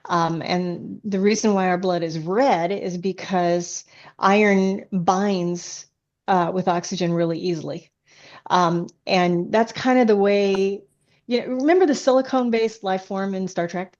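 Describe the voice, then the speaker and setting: A woman's voice: medium pitch, a little slow, a little mellow, dull audio. A woman chatting as an interview guest, an author explaining things enthusiastically in a casual way. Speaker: guest, science fiction author.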